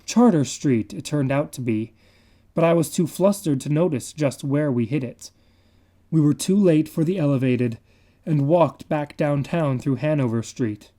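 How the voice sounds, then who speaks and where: Slow, dark-toned male dramatic reader. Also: a little high. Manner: measured, confiding, dramatic. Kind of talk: dramatic reading of a horror story.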